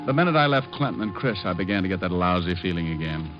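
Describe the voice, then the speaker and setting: A cocky, hard-boiled private eye in a vintage radio noir. His lowish voice swings through sardonic wisecracks with lively intonation, on a muffled old recording with faint music. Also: fast talker. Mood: wry narration. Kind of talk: 1940s-50s radio noir acting and narration.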